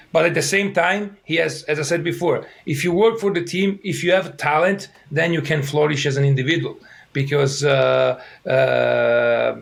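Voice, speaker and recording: A middle-aged man from Italy with an Italian accent, a soccer coach answering interview questions in halting English full of fillers and restarts, his throat a little irritated. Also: on the high side, vocal fry.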